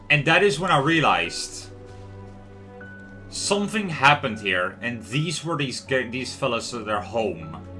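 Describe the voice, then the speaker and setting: Slow-paced, bright-voiced male streamer. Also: mid-range voice. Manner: expressive, rambling, talks to viewers. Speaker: livestream host. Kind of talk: casual game streaming commentary.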